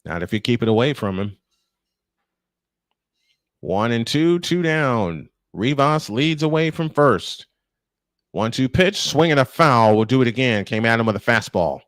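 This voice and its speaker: A man's voice: mid-range voice, lively intonation, unhurried, long pauses, fairly bright tone, no room sound, studio quality. A man calling a baseball game live as play-by-play announcer, with conversational, opinionated asides. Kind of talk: live sports commentary.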